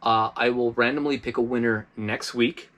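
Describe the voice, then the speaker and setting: Relaxed male host, mid-pitched, chatty product-review delivery with plenty of fillers. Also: normal speed. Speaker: host of a preparedness review channel. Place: inside an RV.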